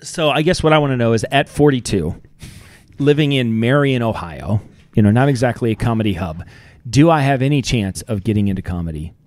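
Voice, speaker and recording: A man's voice: medium pitch, lively intonation, brisk, darkish tone, dry recording. A man, hosting a casual podcast chat, rambling and self-deprecating. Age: 40s. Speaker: podcast host. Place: small room with a table, seated at a mic on a stand. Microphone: studio mic on a stand.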